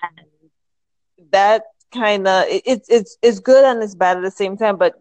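A woman in her thirties from the Caribbean is a guest on a casual podcast. She speaks in a thoughtful, measured way and restarts her sentences often. The recording is dry and close. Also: mid-range voice, darkish tone, no echo, rough recording. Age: 30s. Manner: thoughtful, measured, many restarts while finding words. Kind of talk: casual interview chat.

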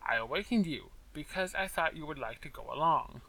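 A man voicing a brisk, impatient little male mouse in a storybook, with a small, clear, high-pitched voice and fussy, formal diction. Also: animated, sharp tone, heavily acted, poor audio. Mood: formal, proud.

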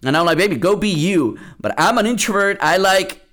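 A young man from Peru chats through a personal story on his own podcast, looping back with fillers and restarts as he goes. His voice sits a little higher than most men's. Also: expressive, brisk, fairly bright tone. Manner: rambling, many restarts and fillers. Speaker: podcast host. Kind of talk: casual solo podcast storytelling.

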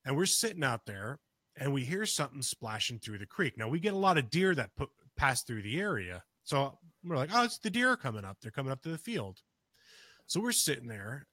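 Bright-voiced man telling a story. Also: medium pitch, no echo. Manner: rambling, full of restarts and "you know"; gets louder and more urgent as the story builds. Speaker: podcast co-host. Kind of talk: casual storytelling of a personal encounter.

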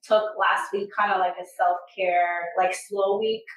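A woman's voice: mid-range voice, fairly even intonation, a little slow. Slightly nasal woman guest, stuffy. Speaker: podcast guest. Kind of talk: casual podcast chat. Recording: echoey room, mic at a distance.

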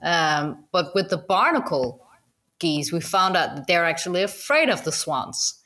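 Danish woman guest, lowish voice, halting casual English with a Danish accent, laughs easily. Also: big pitch swings, a bit bright. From Denmark. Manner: halting, many restarts and fillers, laughs often. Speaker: guest goose hunter. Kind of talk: casual interview chat.

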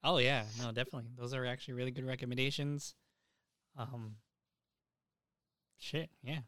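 A man's voice: medium pitch, steady tone, moderate pace, fairly bright tone, no echo, clean studio sound. A man hosting a casual podcast, talking enthusiastically and swearing freely as he goes. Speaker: podcast host.

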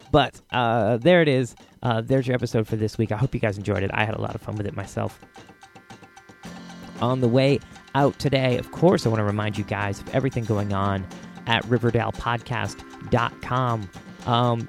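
A man's voice: mid-range voice, darkish tone. A man with an American accent hosting a podcast in a casual, rambling, reflective way. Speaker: podcast host.

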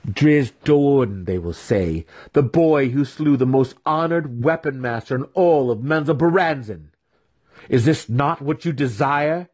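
A man voicing a veteran dark elf weapon master, scornful and derisive, taunting his pupil in a fantasy audiobook. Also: medium pitch, expressive, unhurried, breathy edge, pitched above the performer's own voice. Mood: challenging, mocking.

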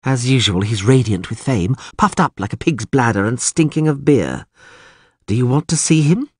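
A man voicing a peevish, sharp-tongued older priestess in a storybook, sarcastic and scornful. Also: mid-range voice, animated, moderate pace, slightly rough, no echo, studio quality, pitched above the performer's own voice.

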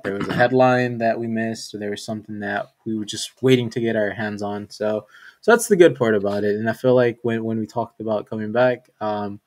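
Laid-back man hosting a podcast. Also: mid-range voice. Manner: relaxed, with many fillers and repeated words. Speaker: podcast host. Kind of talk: casual sports podcast chat.

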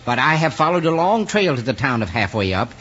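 Prim, stammering little would-be outlaw. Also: male voice, mid-range voice, very animated, brisk, fairly bright tone, husky. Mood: prim, indignant. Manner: hesitant, stammering, overly formal. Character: prim, earnest little man, aspiring outlaw leader. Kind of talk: 1950s radio western acting. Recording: muffled, noisy old-time radio recording.

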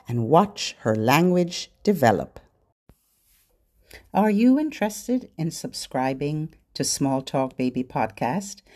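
A middle-aged or older woman hosting a children's podcast, giving scripted, expressive, instructional narration aimed at parents and babies. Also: on the low side.

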